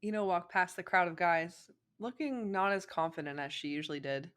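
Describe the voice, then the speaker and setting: A young woman with a slightly low voice narrates a story informally. Her delivery is playful and giggly, like a casual co-host reading fan fiction with a friend. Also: fairly quick, a bit bright.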